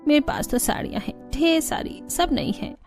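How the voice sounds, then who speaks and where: Woman as young daughter-in-law, high pitch, cheerful, dutiful, cartoon dialogue, music behind. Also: animated, normal speed, a little mellow. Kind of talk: Hindi cartoon story dialogue.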